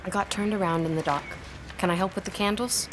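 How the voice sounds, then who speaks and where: A woman's voice: medium pitch, fairly even intonation, quick pace, noisy background, close to an everyday voice. An actress playing an apologetic, secretive young woman with a hesitant, earnest way of speaking in an audio drama. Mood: sheepish, apologetic.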